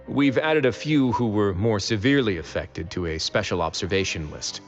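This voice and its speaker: A man acting a composed, wry adult male warden in a video game, speaking in a measured, matter-of-fact way. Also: on the low side, expressive, in a large hall, lo-fi sound, soft background music, close to an everyday voice.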